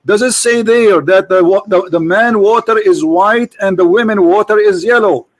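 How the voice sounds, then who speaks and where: A man's voice: high voice, normal speed. A man hosting a heated debate, pressing his caller with insistent, repeated questions. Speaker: debate host. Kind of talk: heated debate over a call.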